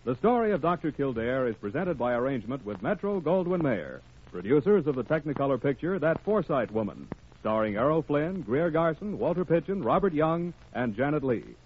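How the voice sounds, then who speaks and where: A man's voice: on the high side, big pitch swings, fairly quick, a little hiss or hum. A man announcing a radio drama in a scripted 1940s-50s broadcast style. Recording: muffled old radio broadcast with music. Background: music.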